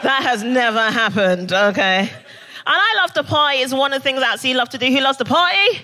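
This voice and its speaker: A young woman from Barbados doing a stand-up comedy set in a club, with brash, punchy jokes and quick crowd work. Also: high voice, expressive, noisy background. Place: comedy club stage before a live audience.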